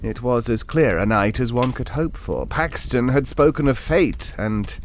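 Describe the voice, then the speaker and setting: A medium-pitched actor playing a bookish gentleman telling a ghost story in an audio drama. He is earnest, wry and a little hesitant, with faint noise in the recording. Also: unhurried, lightly acted. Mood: reflective, uneasy. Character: earnest, bookish gentleman recounting an eerie tale.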